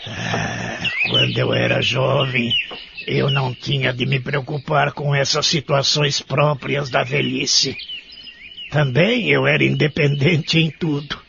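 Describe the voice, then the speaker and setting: An actor playing a proud, lonely widowed man in his eighties in an audio soap, with a gravelly voice and brooding manner. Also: mid-range voice, takes it slowly. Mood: brooding, resentful. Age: 80s. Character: lonely 83-year-old widowed care-home resident, proud and resentful. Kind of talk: audio soap narration and dialogue. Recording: dull lo-fi audio with clear noise.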